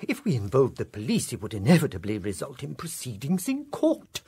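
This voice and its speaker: An actor playing a polished, discreet diplomat in a radio play, formal and persuasive, with a slightly breathy voice. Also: male voice, mid-range voice, wildly swooping pitch, brisk, dark tone, heavily acted. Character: polished discreet male diplomat, middle-aged. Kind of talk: 1960s radio drama acting.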